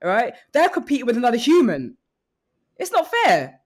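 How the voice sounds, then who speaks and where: A woman's voice: fairly high pitch, huge pitch swings, normal speed, darkish tone. A woman guest on a comedy podcast, ranting casually in an opinionated, mock-exasperated manner.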